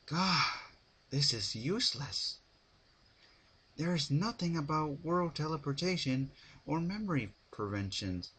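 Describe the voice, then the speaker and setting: A man's voice: on the high side, big pitch swings, a little slow, fairly bright tone, slight background noise, voice pushed up. A man voicing a weary, worried, studious young female unicorn pony in a fretful, earnest manner. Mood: weary, troubled.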